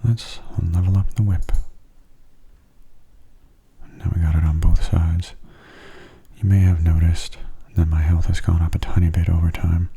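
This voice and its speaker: A man speaks very softly in a low, creaky register, keeping his tone quite level. He murmurs gentle, unhurried game commentary in ASMR style, often dropping into a whisper. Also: flat delivery. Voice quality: hushed, soft voice slipping into whispers. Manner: gentle, unhurried, casual musing. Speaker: gameplay video host.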